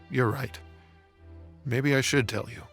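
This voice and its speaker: A man playing a reclusive, grieving scholar in an anime game, subdued and halting. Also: medium pitch, fairly bright tone. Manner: melancholy, halting, sighing. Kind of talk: English dubbed anime game acting.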